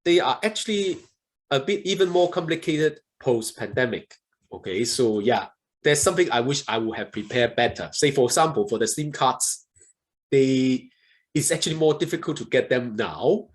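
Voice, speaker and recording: A man's voice: mid-range voice, expressive, normal speed. Chatty man from Southeast Asia. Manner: chatty, keeps adding "okay?" at the end of points. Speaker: startup visa panelist. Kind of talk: panel discussion answers.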